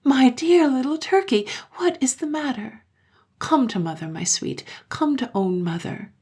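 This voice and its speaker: A gentle, concerned storybook mother, voiced by a woman narrator. She coaxes tenderly, with a slightly breathy, husky edge and widely moving intonation. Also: high-pitched, expressive, unhurried, voice pushed up, full character voice. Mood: comforting. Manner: tender, coaxing. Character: loving, gentle, concerned mother of small children.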